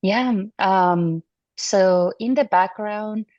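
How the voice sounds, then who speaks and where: A woman in her thirties from Venezuela, a neurology resident, answering interview questions in a relaxed, thoughtful way with fillers and restarts. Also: mid-range voice, drawn-out words, no room sound. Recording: muffled dry audio.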